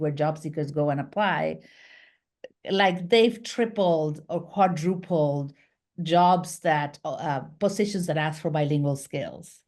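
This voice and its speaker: A middle-aged woman from Argentina, a business founder, talking as a guest on a video call interview with lively hand gestures and many fillers. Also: low-pitched. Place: small home office, indoors. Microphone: video call webcam.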